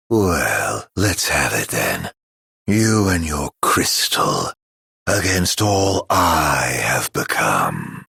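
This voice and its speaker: A grandiloquent immortal male villain from a video game, mocking and hungry for revenge. The voice is deep and rough with gravel, drawn out very slowly as he savors each sardonic phrase. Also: no echo, clean studio sound, full character voice. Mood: triumphant, vengeful. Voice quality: gravelly, husky. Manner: drawling, grandiloquent, sardonic, swinging from murmurs to shouts.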